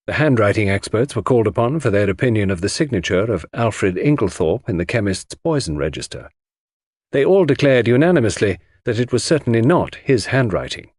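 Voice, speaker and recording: A man reading classic crime fiction as a scripted audiobook, in formal, measured storytelling. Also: lowish voice, darkish tone, breathy edge, no echo, studio quality. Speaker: audiobook narrator.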